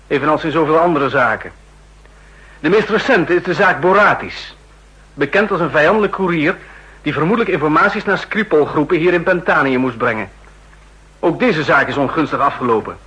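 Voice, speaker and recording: An actor playing a stern older general who chairs a tense meeting, speaking formally in a 1960s radio play. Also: male voice, a little high, a bit bright, rough recording. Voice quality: husky, formal and commanding. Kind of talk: 1960s radio drama acting.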